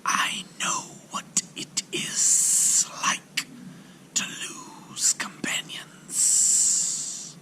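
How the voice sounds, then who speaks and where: Giant breathy serpent prince. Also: male voice, bright, forceful tone, full character voice, low-quality recording. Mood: mournful. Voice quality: breathy hissing whisper. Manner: slow, solemn, drawn-out delivery. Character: gigantic ancient male serpent prince, menacing yet gentle. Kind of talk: storybook character voice.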